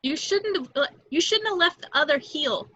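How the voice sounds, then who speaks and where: A woman's voice: high voice, expressive, normal speed, sharp tone. A woman playing a lonely, self-mocking young secretary with sardonic delivery in an amateur read. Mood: awestruck teasing. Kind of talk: amateur table read acting. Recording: echoey, muffled home microphone.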